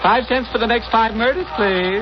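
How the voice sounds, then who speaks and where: An actor playing a ghoulish old-time radio horror host who drawls out morbid jokes with mocking glee. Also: male voice, very high-pitched. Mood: mocking, sly. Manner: mocking, drawn-out, punning delivery. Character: ghoulish macabre male host cracking gleeful morbid jokes. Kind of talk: 1940s radio horror hosting. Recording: dull old radio broadcast, loud organ music.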